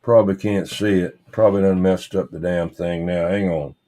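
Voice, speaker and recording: A man's voice: low-pitched, steady tone, normal speed, mellow. A man hosting a casual, rambling livestream, muttering to himself in a folksy way as he talks. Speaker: livestream host showing vintage radios.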